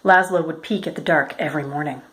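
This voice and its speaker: A woman reading a children's picture book aloud as a storyteller, in a measured, expressive way. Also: low-pitched. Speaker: storyteller, educator.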